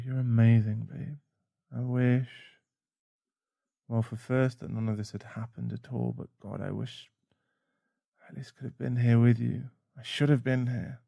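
A man playing a caring, protective boyfriend in an intimate ASMR roleplay, speaking softly and soothingly with halting pauses. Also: mid-range voice, creaky, no room sound, highs cut off. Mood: tender, regretful. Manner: soft, soothing, halting, sighing. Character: caring, protective, shaken boyfriend comforting a partner. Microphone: close mic.

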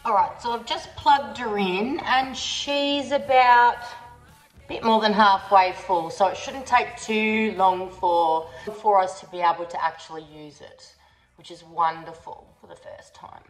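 A woman's voice: mid-range voice, fairly even intonation, unhurried. Bubbly Australian woman vlogging at home. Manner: chatty, bubbly, cheeky, breaks into little sung bits. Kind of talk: casual product review vlog. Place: large modern kitchen and living room indoors. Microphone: phone camera on the bench, moving around the room. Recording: roomy echo with faint music.

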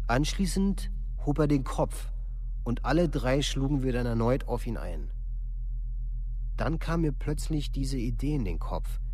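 A man's voice: medium pitch, a little mellow, a little hiss or hum, close to an everyday voice. An actor playing a young male offender reciting a police confession in a formal, matter-of-fact way for a documentary radio drama.

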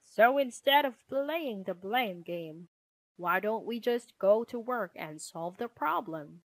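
A woman's voice: mid-range voice, animated, takes it slowly, a little hiss or hum. A woman voicing a practical storybook father bear, firm and matter-of-fact as he takes charge. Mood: reasonable, firm.

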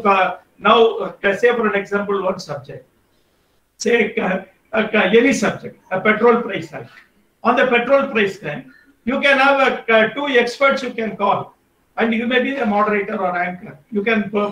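High-voiced Indian man, echoey call. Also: a bit bright. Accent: Indian English. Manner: hesitant, many fillers and restarts. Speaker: guest speaker on podcasting. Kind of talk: online workshop talk. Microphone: video call. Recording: echoey, far from the mic.

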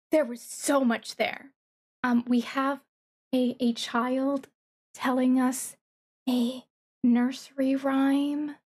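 A woman streamer giving casual reaction commentary, thinking aloud in a halting way and repeating words. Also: high-pitched. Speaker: streamer reacting to a game trailer.

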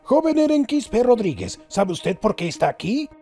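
A man's voice: high-pitched, big pitch swings, normal speed, mellow, soft background music. An actor playing a stern old male judge in a comedic anime parody, formal, scolding and easily exasperated.

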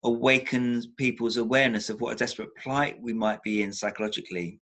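A man's voice: mid-range voice, steady tone, fast talker, fairly bright tone. An older man speaking as a therapist guest on a video call from a room at home, talking thoughtfully with frequent restarts and repeated words for emphasis. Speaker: psychotherapist guest. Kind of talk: reflective video-call interview. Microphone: laptop webcam on a video call.

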